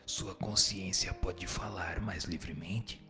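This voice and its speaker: A man playing a solemn spirit who answers questions with moral authority, in a measured, formal, didactic radio drama reading. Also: low-pitched, fairly even intonation. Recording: music bed under the voice.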